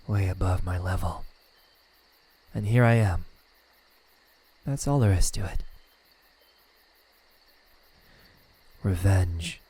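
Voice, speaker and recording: Breathy, slow-talking male demon, acted by a man. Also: deep voice, full character voice. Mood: melancholic. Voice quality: breathy, slipping into whispers. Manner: slow, hushed, taunting delivery with pauses. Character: male demon, former slayer, smug, menacing yet melancholic. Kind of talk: ASMR character roleplay.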